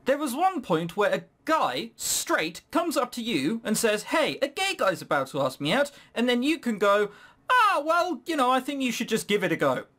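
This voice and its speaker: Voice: mid-range voice, big pitch swings, normal speed, pushed and bright. A let's play host giving casual, sweary, incredulous commentary while reading through a game. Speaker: gaming video host.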